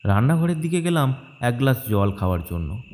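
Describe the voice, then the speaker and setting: A man's voice: medium pitch, very animated, a little fast, very noisy. A man narrating a scripted first-person horror story in a dramatic, suspenseful way. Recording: loud music and rain behind. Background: music and rain sound effects.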